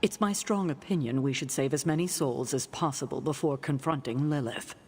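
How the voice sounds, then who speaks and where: A woman's voice: sits low, darkish tone, a little hiss or hum, natural voice. A woman voicing a firm mentor witch. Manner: firm, brisk, commanding. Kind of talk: video game cutscene acting.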